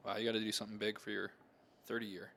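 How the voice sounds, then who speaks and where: A man in his late twenties co-hosts the show with keen enthusiasm. His voice is bright and forward with some gravel underneath. He introduces the guest warmly and steers to the topic. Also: on the low side, little pitch movement, racing, slight background noise. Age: 20s. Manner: enthusiastic, laughs easily. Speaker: podcast co-host. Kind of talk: upbeat interview hosting.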